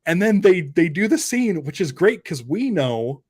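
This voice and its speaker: A man's voice: sits high, very animated, measured pace, a little mellow, husky, no echo, clean studio sound. A man hosting a podcast, talking about film in a casual, enthusiastic, conversational way like a fan.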